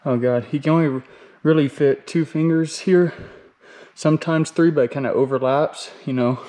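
A man's voice: on the high side, steady tone, moderate pace, warm tone. A young man filming himself at a bouldering gym, talking casually to the camera between climbs, laid-back and slangy, with some swearing. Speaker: climbing vlogger. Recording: echoey gym with music behind. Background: background music.

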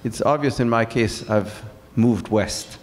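A middle-aged man from France, speaking as a guest on stage in a large hall, pausing with many fillers. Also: mid-range voice, a little hiss or hum. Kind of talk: onstage conversation. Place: large event hall with seated audience. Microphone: handheld mic.

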